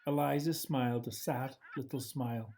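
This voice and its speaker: A man reading a children's story aloud in a gentle, expressive way, with hushed dramatic drops. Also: mid-range voice, moderate pace, vocal fry. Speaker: storybook reader. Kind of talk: scripted children's story narration, read aloud.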